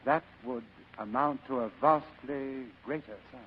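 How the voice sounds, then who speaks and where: An actor playing a genteel, sly older crime boss in a 1940s radio drama, with ornate, leisurely, courtly speech. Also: male voice, mid-range voice, unhurried, slight background noise, lightly acted. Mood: genial bargaining. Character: genteel, sly criminal mastermind, a fat older man. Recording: muffled vintage radio broadcast, rough sound.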